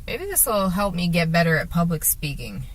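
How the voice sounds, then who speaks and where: A woman with a sore throat and a gravelly, deeper voice, rambling hesitantly to the camera from her car, with many fillers and restarts. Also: big pitch swings, normal speed, rough recording. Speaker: vlogger. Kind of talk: rambling casual monologue while driving.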